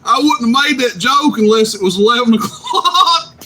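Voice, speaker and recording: A man's voice: very high-pitched, animated, moderate pace. A man teasing and joking with a friend on CB radio. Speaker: CB radio operator. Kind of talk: casual radio banter. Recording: noisy CB radio transmission.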